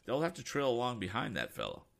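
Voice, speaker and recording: Casting: earnest young inventor, raised bright voice, urgent, read by a man. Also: mid-range voice, huge pitch swings, fast, creaky, voice pushed up, exaggerated voice.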